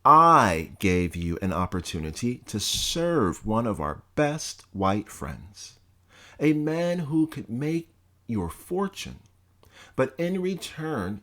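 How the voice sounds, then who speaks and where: Guy reading as a bossy older college head, scornful and harsh, slow deliberate speech that swoops up and down a lot. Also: mid-range voice, sing-song, sharp tone, full character voice. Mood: scolding. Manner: scolding, contemptuous, commanding. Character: stern domineering older male college president. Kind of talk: audiobook character voice.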